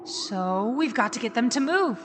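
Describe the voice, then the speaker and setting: A woman's voice: a little high, expressive, moderate pace, background noise, lightly acted. Woman acting a confident, urgent teenage girl. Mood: urgent, reassuring. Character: confident, level-headed teenage girl on the run. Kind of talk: dramatized audiobook acting.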